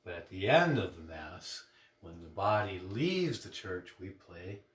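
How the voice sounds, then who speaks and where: A man's voice: low-pitched, big pitch swings, measured pace, heavy background noise. A man hosting as a priest, teaching in an informal, conversational way with plain, simple explanations. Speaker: priest, storytime host. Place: inside a church, roomy. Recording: echoey room, distant mic, loud music behind.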